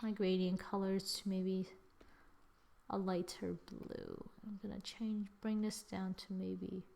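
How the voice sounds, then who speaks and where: A woman hosting a screen-recorded software tutorial, chatting as she works and correcting herself with little exclamations. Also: medium pitch, little pitch movement, takes it slowly, gravelly. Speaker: tutorial host.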